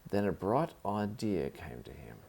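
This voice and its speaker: A man's voice: low-pitched, sing-song, a little slow, creaky, dark tone, breathy edge. A man reading a children's story aloud as the narrator.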